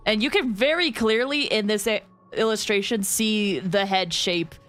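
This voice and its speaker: A woman's voice: medium pitch, normal speed, a bit bright. A woman doing casual live stream commentary on a game, chatty and reactive. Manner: chatty, reactive, greets viewers.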